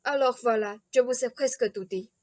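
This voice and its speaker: Woman as polite eccentric king, high voice, dry close sound, formal phrasing, radio drama. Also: darkish tone, no echo, close to an everyday voice. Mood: courteous, confiding. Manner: formal, over-polite, measured. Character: courteous, eccentric, ceremonious old-fashioned king, a doting father. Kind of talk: theatrical radio play acting.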